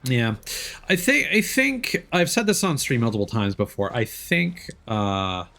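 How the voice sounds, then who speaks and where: A man's voice: medium pitch, very animated, unhurried, gravelly, bright, forceful tone, a little hiss or hum. A man chatting casually as he commentates on a game for a livestream. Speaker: streamer.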